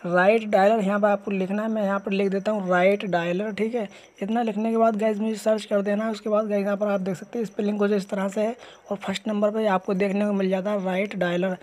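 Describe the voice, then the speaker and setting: A man's voice: sits high, little pitch movement, quick pace, darkish tone. A man narrating a step-by-step phone tutorial in an informal, repetitive way, addressing viewers as guys. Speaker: tech tutorial host. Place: voice-over on a phone screen recording.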